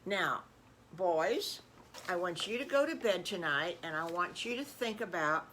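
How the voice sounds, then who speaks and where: A woman voicing a firm, patient mother pig in a children's story, calmly giving instructions. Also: mid-range voice, a little hiss or hum, heavily acted.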